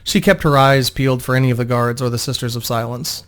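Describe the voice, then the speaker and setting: A man's voice: medium pitch, steady tone, fast talker, a bit bright, clean tone. A man narrating a fantasy game story as a dramatic reading, hosting it himself. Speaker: narrator and host.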